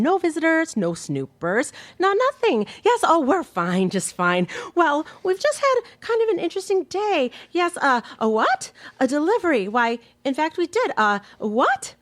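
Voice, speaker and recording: Actress as exasperated bickering wife, theatrical mid-century radio style, fairly high pitch, very lively melody, dry sound. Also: female voice, no room sound, exaggerated voice. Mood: falsely cheery. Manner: theatrical, pointed delivery. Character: exasperated, sharp-tongued wife in a bickering couple. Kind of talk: mid-century radio comedy-drama acting.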